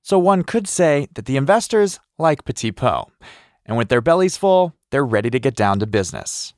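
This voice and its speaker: A man hosting and narrating a podcast, delivering scripted narration in a lively, conversational storytelling way. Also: medium pitch, normal speed, dry recording, clean studio sound.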